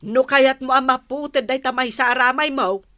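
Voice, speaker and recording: A woman's voice: high-pitched, fairly even intonation, moderate pace, bright, forceful tone, no echo, studio quality. A woman with an Ilocano Filipino accent playing a gossipy, cautioning village woman in a comedy radio drama. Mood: gossipy, warning.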